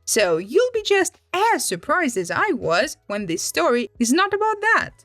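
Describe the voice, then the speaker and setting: Animated high-voiced woman reading stories. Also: unhurried, no room sound. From Finland. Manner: dramatic, expressive. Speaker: storytelling channel host. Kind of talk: animated read-aloud storytelling. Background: background music.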